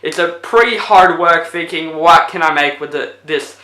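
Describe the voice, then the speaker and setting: A young man talks through a movie review in a loose, rambling way, stacking fillers as he retells scenes. His voice is bright and sits a little high, and it sounds worn out. The room around him is echoey. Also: moderate pace. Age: young adult. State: voice getting tired. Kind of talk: casual video review. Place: indoors in front of a plain blue backdrop.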